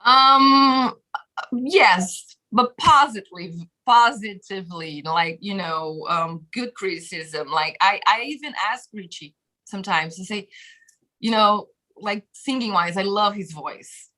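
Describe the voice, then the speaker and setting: Brazilian-accented woman in her thirties, guest on a video call, bright lively tone, chatty with lots of fillers and laughs. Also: mid-range voice, takes it slowly. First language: Portuguese. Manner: animated, laughs often, many fillers. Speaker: guest musician. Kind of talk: casual interview chat. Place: bedroom home studio, indoors. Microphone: webcam video call.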